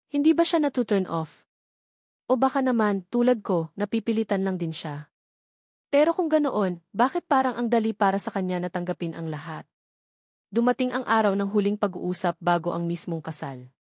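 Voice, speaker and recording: A woman's voice: medium pitch, lively intonation, moderate pace, darkish tone, dry recording, studio quality. A woman narrating a scripted first-person love drama as a storyteller.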